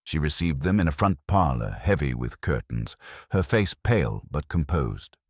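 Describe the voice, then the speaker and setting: A man's voice: rumbling low, big pitch swings, moderate pace, dry recording, clean studio sound. A man narrating a scripted mystery story as an audiobook reader.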